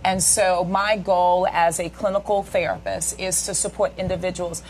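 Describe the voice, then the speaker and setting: Lower-voiced woman in her 40s explaining stuff, keeps saying right? okay?, bit of background hiss. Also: little pitch movement, normal speed, low-quality recording. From United States. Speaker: guest therapist. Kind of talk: interview talk.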